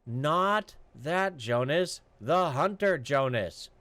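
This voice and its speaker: A man's voice: fairly high pitch, expressive, slow, fairly bright tone, no room sound. A man doing a blunt, plain-spoken hunter. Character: plain, blunt male hunter, sincere and stubborn.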